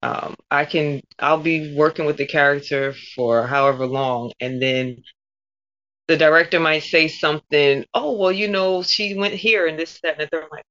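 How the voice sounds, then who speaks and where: A woman's voice: deep voice, normal speed, a bit bright. A woman voice actor answering interview questions in a conversational style. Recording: dull lo-fi audio.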